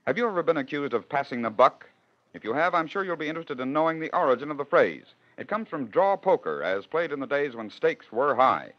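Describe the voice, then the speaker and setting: A man's voice: fairly high pitch, big pitch swings, brisk. An American man hosting and narrating a 1940s radio program with wry, theatrical storytelling. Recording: dull, lo-fi 1940s radio recording.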